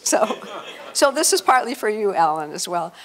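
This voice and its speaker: A woman in her sixties giving an informal, halting talk as presenter, on a handheld microphone. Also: medium pitch, big pitch swings. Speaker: event presenter. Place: hotel meeting room, indoors.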